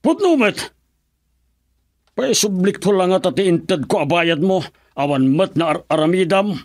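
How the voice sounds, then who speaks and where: Menacing, demanding radio-drama man, fairly high voice, scolding, Ilocano accent. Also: animated, measured pace. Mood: angry, threatening. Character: demanding, hot-tempered, threatening man.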